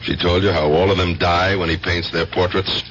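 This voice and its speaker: An actor playing a troubled American police detective in a 1970s radio drama, terse, wary and defensive. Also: male voice, low voice, level tone, normal speed, a bit bright. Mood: defensive, bitter. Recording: dull 1970s radio recording with hum.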